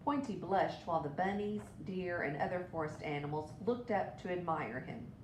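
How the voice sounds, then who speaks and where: A woman's voice: moderate pace, a little mellow. A woman reading a children's story aloud as a storybook narrator. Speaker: storybook reader. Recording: echoey room with background rumble.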